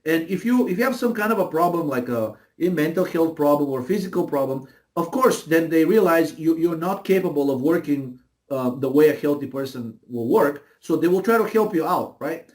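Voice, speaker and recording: A man with a slightly high voice and a Bulgarian accent speaks as an interview guest. He explains things in a conversational, emphatic way, often repeating phrases and tagging points with a quick check for agreement. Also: animated.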